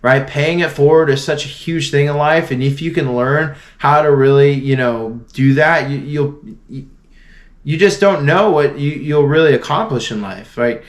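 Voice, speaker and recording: A man's voice: on the high side, normal speed. A young man from Florida talking earnestly to camera in a casual motivational vlog, with frequent restarts and fillers. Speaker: vlogger.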